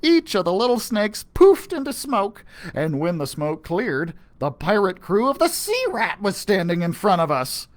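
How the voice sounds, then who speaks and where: A man narrating a children's storybook with a playful, animated delivery. He reads slowly, and his pitch leaps and falls across every line. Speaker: children's librarian reading aloud. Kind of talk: storybook read-aloud.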